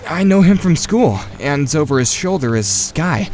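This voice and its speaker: A man playing a watchful, tentative teenage boy, giving measured first-person narration in an audio drama. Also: a little high, animated, darkish tone, faint music behind, close to an everyday voice. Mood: tense.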